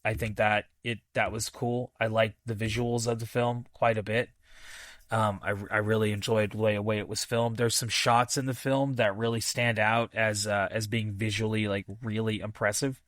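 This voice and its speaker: A man hosting a podcast, casually reviewing a film in a halting, thinking-aloud way with enthusiastic, repeated emphasis. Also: on the low side, little pitch movement, a bit bright, dry recording, studio quality. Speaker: movie review podcast host.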